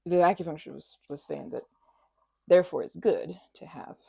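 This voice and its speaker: A woman doing an ASMR best-friend roleplay, chatting in a rambling, scattered way with playful, childlike excitement. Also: on the low side, moderate pace, gravelly, breathy edge, no room sound. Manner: rambling, scattered, jumping between asides.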